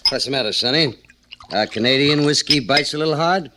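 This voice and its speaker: An actor playing a tough, menacing drug dealer in 1940s radio crime style, terse and commanding. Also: male voice, medium pitch, a little fast, heavy background noise, close to an everyday voice. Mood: hard, sardonic. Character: menacing hard-boiled male drug dealer, Big Jim.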